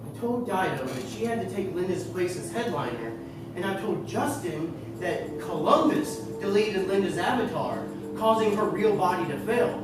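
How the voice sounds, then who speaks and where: An echoing, distant stage recording with music behind. A woman plays a guilty, scheming cyborg magician turned reluctant ringmaster, speaking a soliloquy in a mid-pitched voice. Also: natural voice. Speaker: stage actor. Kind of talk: theatrical soliloquy. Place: theater stage, distant microphone. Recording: echoey theater, distant mic, music behind.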